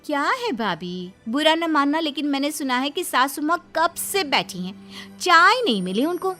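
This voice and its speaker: Woman acting a cheeky, high-voiced young daughter-in-law. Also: big pitch swings, moderate pace, sharp tone, faint music behind, exaggerated voice. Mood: pointed. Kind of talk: comic moral-story acting.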